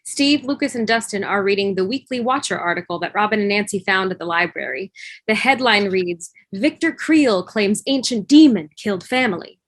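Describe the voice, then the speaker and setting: A woman's voice: medium pitch, animated, moderate pace, no echo. Woman narrating a script, dry sound. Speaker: narrator reading stage directions. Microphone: video call.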